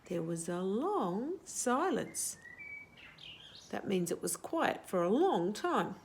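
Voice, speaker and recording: A woman's voice: mid-range voice, very animated, slow pace, a little hiss or hum. A woman reading a picture book aloud for young children, explaining simply and asking questions as she goes. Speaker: children's storyteller.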